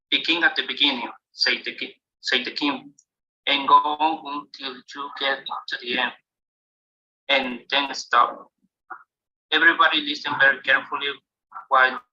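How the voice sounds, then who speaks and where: A man from El Salvador, a student reading a passage aloud carefully and haltingly on a call. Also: mid-range voice, bright, forceful tone, dull audio. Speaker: student guest.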